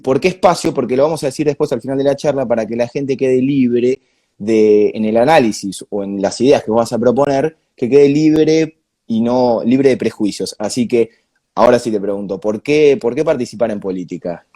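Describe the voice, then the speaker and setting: Hombre porteño de voz algo apagada. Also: male voice, medium pitch, moderate pace, warm tone. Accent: Buenos Aires Argentine Spanish. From Buenos Aires area, Argentina. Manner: colloquial, direct address. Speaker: interviewer. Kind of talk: informal conversational interview.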